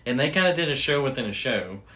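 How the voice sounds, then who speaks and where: A man's voice: mid-range voice, expressive, quick pace, bright, forceful tone. Man chatting as an enthusiastic show host. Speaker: podcast host. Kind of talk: casual chat about radio history.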